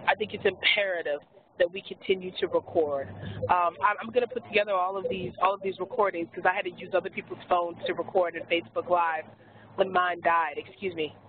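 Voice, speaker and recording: A woman speaking as an activist on a webinar panel, hoarse from losing her voice, emphatic and earnest with frequent restarts. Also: on the low side, steady tone, a little fast. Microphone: telephone handset. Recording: tinny phone line with faint hiss.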